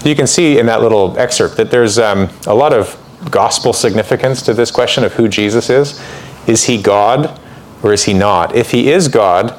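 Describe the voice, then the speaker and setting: A man with a somewhat low voice lectures on church history in a loose, conversational way. He pads his sentences with fillers and side remarks. When he reads a quotation aloud, he leans into it with more weight. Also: normal speed. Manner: conversational, with frequent fillers and asides. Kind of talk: informal lecture.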